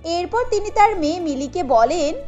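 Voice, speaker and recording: Female story narrator: scripted delivery, extremely high pitch, music bed. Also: animated, moderate pace, darkish tone.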